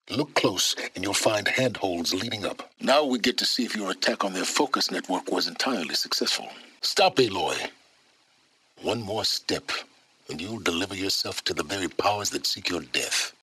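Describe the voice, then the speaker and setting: A secretive, learned middle-aged man from a video game, with a very low and husky voice. He speaks in formal, precise phrases, commanding and condescending, and his pitch moves with great expression. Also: bright, forceful tone, heavily acted. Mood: urgent, commanding. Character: secretive, learned older male wanderer, imperious and impatient. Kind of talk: video game character dialogue.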